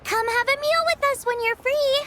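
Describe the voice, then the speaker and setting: A woman voicing a tiny, bubbly, childlike fairy companion in a video game, with a squeaky, perky delivery. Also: moderate pace, heavy background noise. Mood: excited. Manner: perky, exclamatory. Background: game music.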